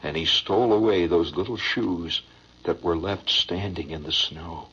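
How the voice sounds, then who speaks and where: A sorrowful father played by a man reciting a stage melodrama in a husky, rough voice, slow and mournful. The delivery is theatrical and grave, heard over a dull old radio broadcast. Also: sits low, pushed and bright, voice pushed down, full character voice.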